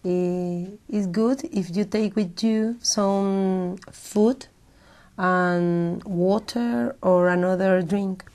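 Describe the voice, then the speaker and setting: A woman answers exam questions in English. She speaks slowly and hesitates as she searches for words, at a middle pitch. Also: fairly even intonation, darkish tone. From Peru. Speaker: exam candidate.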